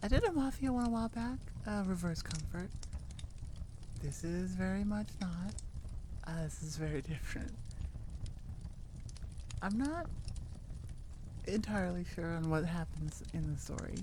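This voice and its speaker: A woman's voice: lowish voice, unhurried, long pauses, background noise. A woman chatting casually to her listeners as an audio roleplay host, in a playful, rambling way.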